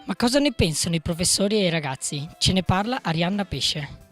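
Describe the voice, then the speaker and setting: A woman's voice: lowish voice, expressive, brisk. A woman news presenter reading scripted newsreel narration. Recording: music playing behind the voice.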